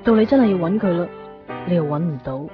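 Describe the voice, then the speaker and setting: An actress playing a wistful, reflective narrator in a radio drama monologue, measured and pausing, with a Hong Kong Cantonese accent. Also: female voice, on the low side, expressive, lightly acted. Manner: measured, pausing between short phrases. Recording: muffled 1990s radio, guitar music behind.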